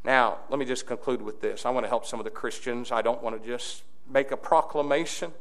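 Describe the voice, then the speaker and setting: Fiery preaching man, mid-pitched. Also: steady tone, a little fast. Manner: impassioned, swings from quiet to shouting. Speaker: pastor. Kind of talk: fiery sermon.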